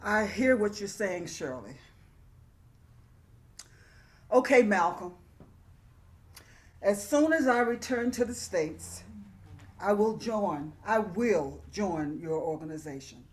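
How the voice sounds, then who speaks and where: A woman playing a reflective poet and activist in a dramatic reading, telling her story in an earnest, measured way. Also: medium pitch, lively intonation, darkish tone. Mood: thoughtful.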